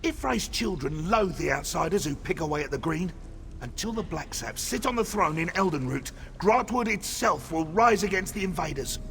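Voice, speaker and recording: Bitter male rebel leader, fantasy game, high bright voice, theatrical, vengeful. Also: animated, normal speed, slight background noise. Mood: grim, menacing. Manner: theatrical, impassioned, declamatory. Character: bitter, vengeful male rebel commander grieving his father. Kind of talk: fantasy video game voice acting.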